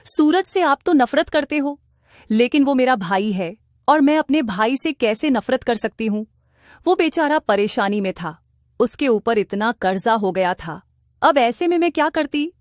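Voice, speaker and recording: A woman's voice: high-pitched, unhurried, no room sound, studio quality, pitched above the performer's own voice. A woman voicing an emotional, concerned wife with a guilty, pleading manner in a cartoon. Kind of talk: Hindi cartoon voice acting.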